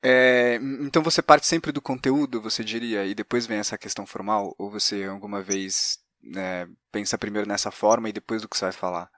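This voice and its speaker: A man's voice: fairly low pitch, steady tone, a little fast, few pauses, a bit bright, no echo, clean studio sound. A man with a Brazilian Portuguese accent, interviewing as a podcast host in a conversational, inquiring way with hesitant phrasing.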